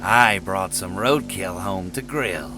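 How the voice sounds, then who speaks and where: A man's voice: sits low, slow, over loud music. An actor playing a sour, sardonic male villain with a dry, grumbling delivery in a comic dub. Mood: sardonic, resentful. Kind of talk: dramatic comic dub acting.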